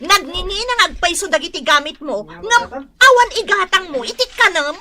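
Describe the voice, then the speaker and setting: High, bright, scolding Ilocano co-worker. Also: female voice, lively intonation, moderate pace, exaggerated voice. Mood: scornful. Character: blunt, scolding young female co-worker. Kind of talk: comic radio drama acting.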